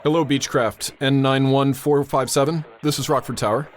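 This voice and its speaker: An actor playing a brisk, steady airport tower controller under pressure, in a radio drama style. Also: male voice, medium pitch, a little hiss or hum, close to an everyday voice. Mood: calm, probing.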